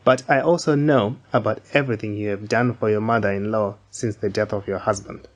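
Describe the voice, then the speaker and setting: A man playing a kindly, authoritative landowner in a dramatised Bible reading, speaking in a measured, formal way. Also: on the low side, steady tone, close to an everyday voice. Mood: solemn blessing. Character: Boaz, kindly wealthy landowner, authoritative and generous.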